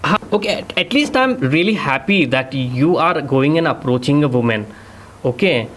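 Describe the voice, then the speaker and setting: A man's voice: on the high side, lively intonation, a little fast, a bit bright. A man with an Indian accent, coaching on a casual call in mixed English and Hindi, repeating himself and checking for agreement. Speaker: dating coach, host.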